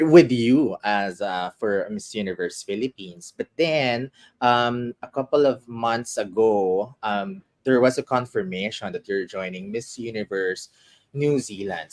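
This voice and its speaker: A man's voice: lowish voice, a little mellow. A male interviewer asking questions hesitantly, with many fillers, mixing Filipino and English.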